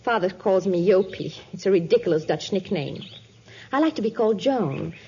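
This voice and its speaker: An actress playing a resentful, headstrong young daughter, petulant and dramatic, in a 1950s radio drama. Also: female voice, mid-range voice, animated, normal speed, mellow, slight background noise, close to an everyday voice. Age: young adult. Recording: dull lo-fi 1950s radio recording.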